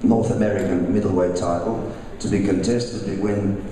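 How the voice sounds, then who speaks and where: A man with a deep, dark voice introduces fighters at a press event, often stopping and restarting his sentences. He was born in Russia and raised in Australia. The sound is distant and echoes around a large hall. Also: steady tone, normal speed. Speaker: boxing promoter. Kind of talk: press conference announcing. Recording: echoey hall, mic far off.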